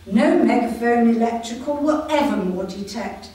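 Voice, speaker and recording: Woman poet, higher voice, slow measured reading, roomy hall, heard from afar. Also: dark tone. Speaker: poet reading her own work. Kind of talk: poetry reading at a live event. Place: community hall with live audience. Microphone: no microphone, voice projected. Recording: echoey hall, voice from far off.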